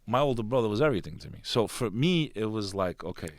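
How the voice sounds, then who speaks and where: A man's voice: lowish voice, lively intonation, quick pace, no room sound. A man talking as a podcast guest in a studio, intense and emphatic, swearing freely in casual conversation. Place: studio with a green screen.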